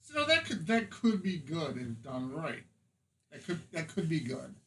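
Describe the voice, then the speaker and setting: A man's voice: on the high side, sing-song, measured pace, a bit bright. A man on a podcast panel, chatting casually. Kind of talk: casual chat. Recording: slightly distant mic, faint noise.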